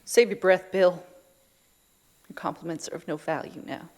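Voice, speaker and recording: A woman acts out a young frontier widow in an audio drama. Her voice sits a little low, and she speaks with cold, bitter resolve, grieving and set on revenge. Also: fairly even intonation, moderate pace, darkish tone, natural voice. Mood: cold, dismissive. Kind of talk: Western audio drama acting.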